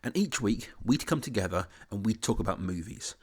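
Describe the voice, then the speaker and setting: A man with a somewhat low voice delivers a scripted podcast promo. His wording is informal and addressed straight to listeners. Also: animated, fairly quick, darkish tone, slightly rough.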